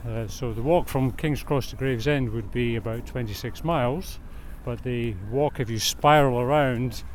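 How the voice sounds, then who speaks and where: A man's voice: mid-range voice, moderate pace. Scottish man interviewed outdoors over city noise. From Scotland. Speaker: interview guest, walk organiser. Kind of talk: conversational interview while walking. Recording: outdoor recording with urban traffic noise.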